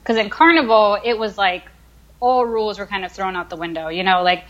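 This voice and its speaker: A woman's voice: medium pitch, fairly quick, fairly bright tone, dull audio. A young woman in her twenties from the United States, talking casually as an interview guest, with many fillers as she thinks aloud. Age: 20s. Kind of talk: casual conversation.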